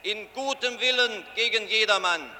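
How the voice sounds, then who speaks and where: A man interpreting a speech live, measured and slightly halting as he corrects himself, in a reverberant archival recording with faint noise. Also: sits high.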